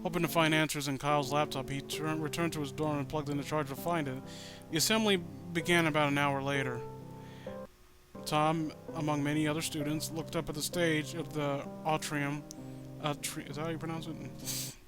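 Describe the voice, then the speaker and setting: A man's voice: on the high side, fairly even intonation, normal speed, music in the background. A man reading a story aloud with comedic, exasperated commentary, swearing freely and stumbling over words. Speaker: host reading and riffing on a fan-written horror story.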